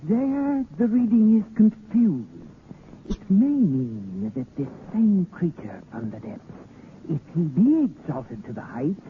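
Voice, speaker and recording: Solemn court stargazer, very slow, hesitant, thin crackly old radio drama. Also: male voice, high voice, sing-song, heavily acted. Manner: hesitant, formal, portentous. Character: cautious, solemn court astrologer, evasive and deferential. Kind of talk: 1930s radio drama acting. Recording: tinny, faintly noisy 1930s radio.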